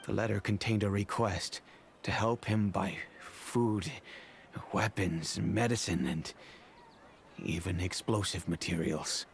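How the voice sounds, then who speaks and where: Low-voiced, earnest middle-aged father. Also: fairly even intonation, creaky, slight background noise, heavily acted. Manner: measured, formal, earnest. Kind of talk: video game character acting.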